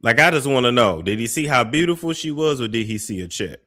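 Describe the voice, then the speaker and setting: A man's voice: medium pitch, lively intonation, fast, pushed and bright. A man hosting a commentary show, reacting to clips in a gossipy, skeptical way. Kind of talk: reaction commentary on a played clip.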